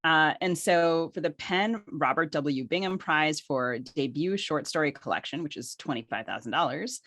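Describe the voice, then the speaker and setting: A woman's voice: low-pitched, moderate pace, a bit bright, dry recording, clean studio sound. A woman co-hosting a literary podcast, reading guest introductions and nominee lists with careful articulation. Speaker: podcast co-host.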